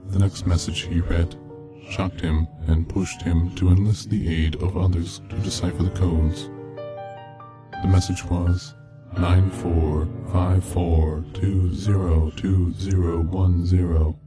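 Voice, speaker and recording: Very deep, even-toned male narrator over music. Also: takes it slowly. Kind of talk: scripted horror story narration. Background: background music from game soundtrack.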